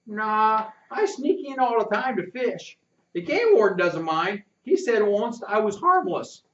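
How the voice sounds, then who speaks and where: A man voicing a scruffy, boastful country boy of about ten with a squeaky voice and rustic ungrammatical talk. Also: sing-song, a little slow, fairly bright tone, full character voice. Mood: earnest. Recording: roomy and distant, muffled.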